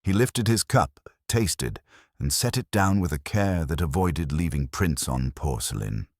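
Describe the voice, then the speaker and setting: Very deep, husky male storyteller. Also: lively intonation, measured pace, darkish tone, no echo, clean studio sound. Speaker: audiobook narrator. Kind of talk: scripted detective story narration.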